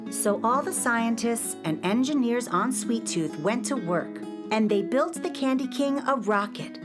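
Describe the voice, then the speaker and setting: Woman, children's story narrator, animated intonation, expressive, scripted, acoustic guitar clearly behind. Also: a little high, a little slow.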